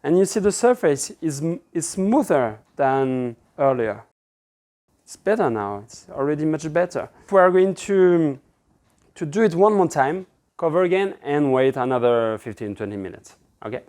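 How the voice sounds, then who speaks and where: Male, 30s to 40s, native French speaker with French accent, lightly raised pitch, casual kitchen-demo delivery, relaxed and informal. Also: moderate pace. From France. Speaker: pizza-making instructor. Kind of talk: casual cooking demonstration. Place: indoor kitchen with stone counter.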